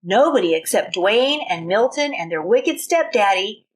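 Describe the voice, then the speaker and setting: A woman reading a children's storybook aloud in an expressive manner, as a teacher does for young children. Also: medium pitch, moderate pace.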